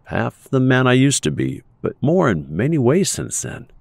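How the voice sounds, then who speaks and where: A man playing a stoic, wounded veteran inventor, speaking in a measured, earnest way in an audio drama. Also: medium pitch, huge pitch swings, creaky, darkish tone, no room sound, heavily acted. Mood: somber, hopeful.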